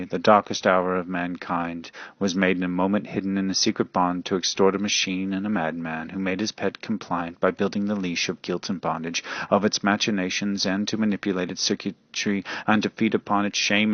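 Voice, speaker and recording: A man's voice: sits low, no echo, dull audio. A man narrating a fan fiction radio drama in a florid, portentous style.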